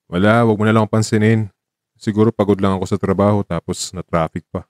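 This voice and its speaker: A man's voice: on the low side, fairly even intonation, a little fast, a little mellow, no echo, clean studio sound, close to an everyday voice. An actor playing a guarded, weary young man in love in a romantic radio drama, with an understated, evasive casual manner. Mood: wistful, tired.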